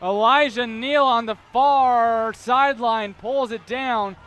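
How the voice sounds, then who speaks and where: A man's voice: very high-pitched, slow pace, a bit bright. A young American man working as a sports announcer, delivering reports and game commentary.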